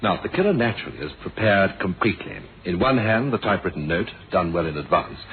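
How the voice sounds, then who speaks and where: An actor playing a calm, shrewd male police inspector in a radio mystery, explaining in a deliberate, measured way and pressing his point. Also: sits low. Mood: methodical, patient. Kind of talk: 1970s radio mystery drama acting. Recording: muffled, noisy old radio drama recording.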